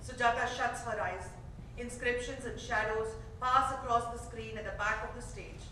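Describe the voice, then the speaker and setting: A woman's voice: a little high, fairly even intonation, normal speed, sharp tone. A woman reading stage directions as the narrator of a staged play reading. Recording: echoey theater from far off, faint noise.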